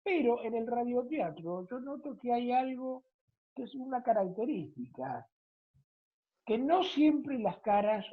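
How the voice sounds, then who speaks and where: Argentine female host, husky, mid-pitched, wordy conversational interviewer, narrow telephone-style sound. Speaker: interviewer, radio programme host. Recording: thin phone-like audio.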